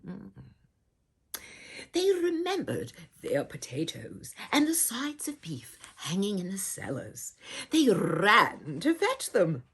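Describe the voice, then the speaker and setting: A woman performing a children's storybook in a theatrical, dramatic way, with hushed asides and doting warmth toward her listeners. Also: mid-range voice, wildly swooping pitch, slow, slightly rough. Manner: addresses listeners with doting endearments. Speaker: storybook reader and host.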